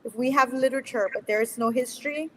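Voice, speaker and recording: A woman educator argues her point on a panel, emphatic and passionate, hammering ideas home through repetition. Her voice sits somewhat high and rings out in a space with long reverb. A faint hiss lies underneath. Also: fast. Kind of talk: panel discussion.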